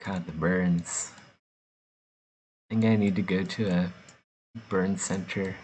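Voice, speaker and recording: A man's voice: low voice, a little hiss or hum, low-quality recording. A man from the United States chatting casually and joking as he works, laughing often between rambling asides. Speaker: artist streaming while sculpting. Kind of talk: casual chat while working.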